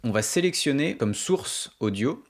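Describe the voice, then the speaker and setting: A man's voice: medium pitch, lively intonation, normal speed. A man hosting a music production tutorial, explaining things step by step in an informal, colloquial way.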